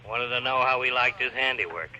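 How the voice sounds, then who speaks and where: A man's voice: medium pitch, fairly quick, bright, forceful tone. An actor playing a terse, matter-of-fact policeman in an old radio crime drama. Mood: grim. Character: male policeman reporting the killer's call. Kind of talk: 1940s-50s radio crime acting. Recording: muffled noisy old radio recording.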